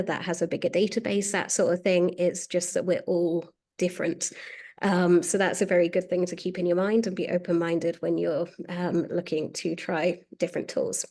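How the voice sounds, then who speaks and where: A woman's voice: fairly low pitch, a little mellow. A woman hosting a webinar as a marketing director, talking casually with lots of "um"s. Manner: chatty, many fillers. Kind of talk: presenting a webinar.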